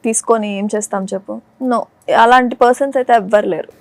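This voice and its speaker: A woman's voice: on the high side, steady tone, fast talker, no room sound. A woman chatting informally as a talk show guest, mixing in English words. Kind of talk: casual chat.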